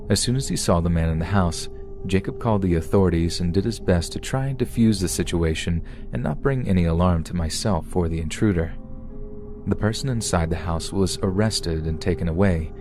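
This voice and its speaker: A man narrating a scripted first-person horror story in a steady, even read. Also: deep voice.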